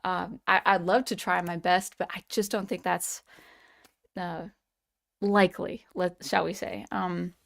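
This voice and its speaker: A woman's voice: fairly low pitch, fairly even intonation, a little slow, creaky, fairly bright tone. Chatty woman hosting a live stream. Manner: chatty, thanks viewers by name, frequent restarts. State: voice slightly strained. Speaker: livestream host. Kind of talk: casual live-chat streaming.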